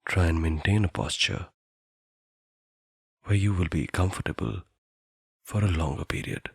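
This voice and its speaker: A man guiding a meditation in a soothing, deliberate manner, leaving long pauses between phrases. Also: low-pitched, steady tone, gravelly, slightly rough, no echo, clean studio sound. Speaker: meditation guide. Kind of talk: guided meditation narration.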